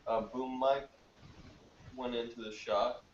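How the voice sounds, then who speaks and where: A man chatting informally in a halting, slangy way. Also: medium pitch, fairly even intonation. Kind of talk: casual, informal chat. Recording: roomy, distant, dull, faint noise.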